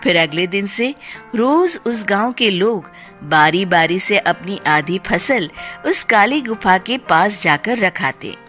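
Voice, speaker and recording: A woman's voice: mid-range voice, lively intonation, takes it slowly, a bit bright, soft background music. A woman narrating a scripted horror folk tale as a storyteller.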